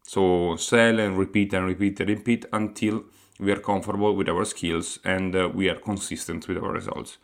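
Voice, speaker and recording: A man's voice: low-pitched, fairly even intonation, moderate pace. A man from Italy with an Italian accent, hosting a solo podcast and explaining his plans earnestly, with many hesitant fillers. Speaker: podcast host.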